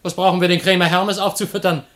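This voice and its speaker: An actor playing a cheeky, sardonic slave in a classical comedy for radio, declaiming with dry mockery. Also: male voice, sits high, flat delivery, fast talker, a bit bright. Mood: mocking, scornful. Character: cheeky, sardonic slave lording it over a god. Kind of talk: theatrical radio play acting of classical comedy.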